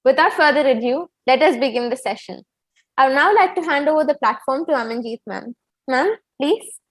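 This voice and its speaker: A woman's voice: high voice, animated, a little fast. A young adult woman with an Indian accent, formally and politely welcoming people as the student host of an event.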